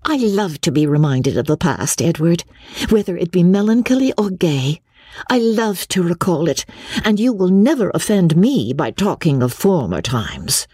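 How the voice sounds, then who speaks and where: An ardent, idealistic young woman from a classic novel, as a woman reader puts her on. She speaks in emphatic, decided phrases with animated, swooping pitch and a slightly husky edge. The sound is close and dry. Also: normal speed, dry recording. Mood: firm, nostalgic. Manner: emphatic, exclamatory.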